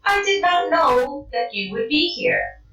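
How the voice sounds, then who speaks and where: Woman doing a measured, formal storybook robot. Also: sits high, sing-song, fairly bright tone, echoey room, recorded from a distance, soft background music, voice pushed up, exaggerated voice. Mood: polite, apologetic. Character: polite, literal-minded friendly robot in a storybook. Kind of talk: children's read-aloud character voice.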